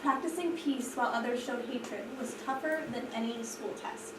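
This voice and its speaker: A woman's voice: on the high side, moderate pace. A woman rehearsing as a trainee teacher, reading aloud and putting questions to a class. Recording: echoey, distant mic, clear background noise.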